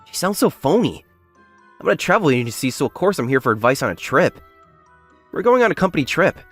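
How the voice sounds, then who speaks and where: A man's voice: on the high side, sing-song, fast talker, fairly bright tone, husky, soft background music, full character voice. A man playing a modest, plain-spoken young factory worker who narrates his own story in a manga dub. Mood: indignant. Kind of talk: English manga dub acting and first-person narration.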